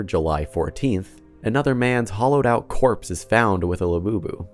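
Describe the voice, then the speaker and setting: A man with a low-pitched voice delivers scripted video commentary. His delivery is casual and conversational, with a dry, sarcastic edge. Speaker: video essay host.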